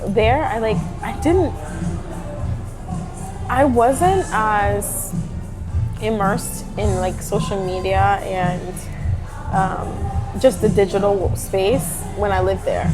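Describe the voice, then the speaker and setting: A young woman from Jamaica, a guest in a casual sit-down conversation, telling stories in an animated way. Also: mid-range voice, takes it slowly, pauses often, over music, poor audio.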